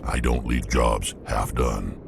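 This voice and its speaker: A gravelly alien mercenary brute. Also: male voice, steady tone, slow pace, background noise, heavily acted. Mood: gruff. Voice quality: deep gravelly growl. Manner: blunt, threatening, terse. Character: hulking alien mercenary warrior, blunt and menacing. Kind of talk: video game voice acting. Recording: game audio with loud score behind.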